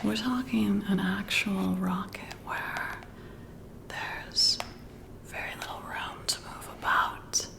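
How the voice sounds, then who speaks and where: A woman speaks in a hushed half-whisper for ASMR, intimate and musing. Her pace is slow and unhurried, and her voice breaks into heavy creak. It feels like a casual one-sided chat with the listener. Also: medium pitch, fairly even intonation. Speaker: ASMR roleplay performer.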